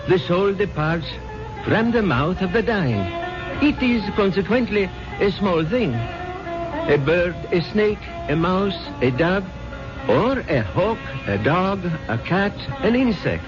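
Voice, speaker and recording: An actor playing an impressive, solemn spiritual preacher, delivering grandiose measured oratory in a 1970s radio drama. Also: male voice, high-pitched, full character voice. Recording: dull 1970s radio broadcast recording.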